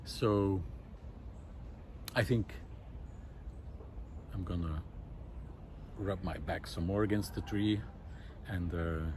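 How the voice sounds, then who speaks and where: A man's voice: sits low, steady tone, slow, pauses often, darkish tone, slight background noise. A man giving an unscripted, reflective monologue outdoors in a wooded park, speaking in a thoughtful, hesitant way. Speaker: vlogger.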